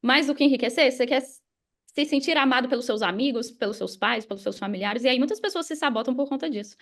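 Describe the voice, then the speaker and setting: A woman's voice: high voice, fast talker. A woman with a Brazilian accent telling a personal story casually as a podcast guest, conversational and engaging listeners directly. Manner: conversational, engages listeners with questions and tag words.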